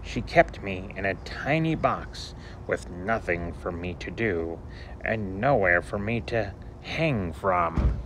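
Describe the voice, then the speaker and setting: A male storyteller reads a children's picture book with slow, deliberate phrasing. His pitch moves in lively, theatrical swings. Steady noise sits behind his voice. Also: poor audio. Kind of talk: expressive picture-book read-aloud for children.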